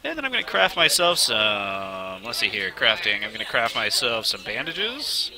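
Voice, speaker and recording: Male game streamer, sniffly with a cold, chatty and excitable, bright edgy voice. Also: on the low side, normal speed, poor audio. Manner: excitable, talks to himself while playing. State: has a slight cold, sniffling. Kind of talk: live let's play commentary. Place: game studio event.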